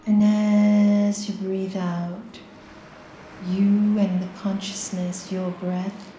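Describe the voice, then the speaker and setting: A woman leading a guided meditation, giving slow, soft, calm instructions with long pauses. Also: medium pitch, roomy sound, slight background noise, poor audio.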